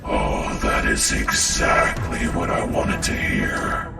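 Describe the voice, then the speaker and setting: A man's voice: very high-pitched, huge pitch swings, takes it slowly, gravelly, full character voice. An actor playing a sadistic, taunting killer in a video game, drawing out his words with menace and gloating. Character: sadistic, taunting male pyrokinetic serial killer villain. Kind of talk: dramatic game villain acting. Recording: echoing, distant, under loud music.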